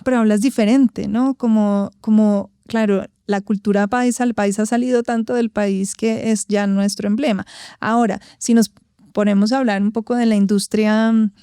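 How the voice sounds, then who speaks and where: A woman from Colombia with a Bogotá accent, a guest speaking thoughtfully in an interview, often pausing to find words and adding tag questions. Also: mid-range voice, mellow, clean tone, dry recording, studio quality. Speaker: guest, actress and vocal coach. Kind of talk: reflective interview talk.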